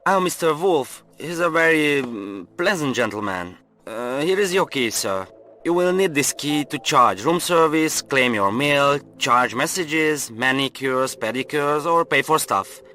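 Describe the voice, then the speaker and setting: A man's voice: fairly high pitch, lively intonation, a little slow, bright, forceful tone, a little hiss or hum. A man playing a fussy, polite hotel receptionist in a video game, formal and a little hesitant.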